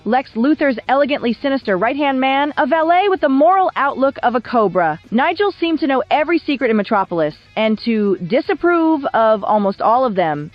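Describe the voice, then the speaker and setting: Casting: female video essay narrator, fairly high, very expressive, polished and wry, dry dull recording with music underneath. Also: no room sound. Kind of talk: scripted documentary narration.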